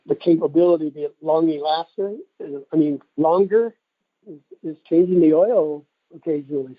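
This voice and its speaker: A man's voice: high-pitched, no echo. Folksy American man on a tinny phone line. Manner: folksy, rambling, conversational. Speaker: product promoter giving a testimonial on a conference call. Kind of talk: informal testimonial sales talk. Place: telephone conference call. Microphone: telephone.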